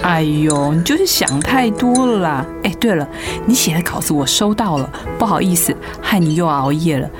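An actress playing a breezy, supportive editor friend with a Taiwanese accent, chatty and teasing, in a radio drama. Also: female voice, low-pitched, animated, moderate pace, few pauses, over music. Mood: teasing, encouraging. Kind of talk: Mandarin radio drama acting.

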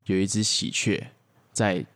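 Low-voiced man improvising a story. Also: level tone, a little slow, fairly bright tone. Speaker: podcast co-host improvising a story. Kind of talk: playful improvised storytelling with banter.

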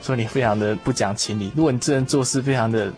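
A man's voice: medium pitch, quick pace, darkish tone, faint music behind. A man hosting a conversational discussion of faith in a reflective, explanatory way. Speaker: radio talk show host.

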